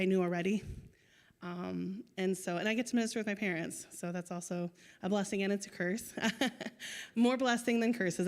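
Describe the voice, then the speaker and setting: A woman's voice: lowish voice, darkish tone. A young woman giving a chatty, upbeat missionary testimony at church into a handheld mic, with many ums. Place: church sanctuary. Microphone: handheld microphone.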